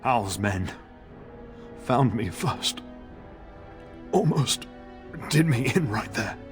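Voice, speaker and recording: An actor playing a gravely wounded middle-aged noble father in a video game, halting and labored, loving and dutiful. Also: mid-range voice, lively intonation, soft background music. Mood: pained. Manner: halting, pained, labored delivery. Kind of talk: dramatic video game acting.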